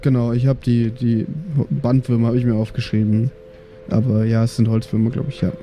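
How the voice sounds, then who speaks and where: Dark-toned man at a gaming table. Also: medium pitch, level tone, brisk, soft background music. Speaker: player in a tabletop audio play. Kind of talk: casual playful chat.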